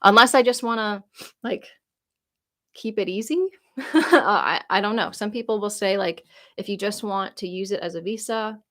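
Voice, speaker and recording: A woman's voice: mid-range voice, moderate pace, a bit bright. A woman in her late twenties answering viewer comments on a live stream, chatty and rambling, with lots of "like" fillers and easy laughter. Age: 20s. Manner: laughs easily while reading comments aloud. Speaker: YouTuber answering viewer questions. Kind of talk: casual live-stream Q&A chat.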